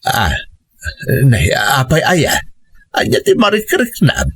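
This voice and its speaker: A man's voice: a little high, huge pitch swings, slow, fairly bright tone, a little hiss or hum, heavily acted. A man with an Ilocano accent acting a dutiful, long-suffering grown son in a radio drama, earnest and plaintive. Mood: worried. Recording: music bed under the voice.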